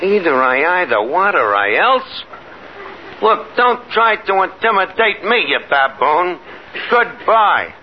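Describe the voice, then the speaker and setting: A noisy, muffled 1950s radio recording carries a scheming tavern manager. He is a high-voiced man who wisecracks in slang and puffs himself up with big words. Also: sing-song, measured pace. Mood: irritated, blustering. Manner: slangy wise guy using pompous big words. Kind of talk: 1950s radio comedy acting.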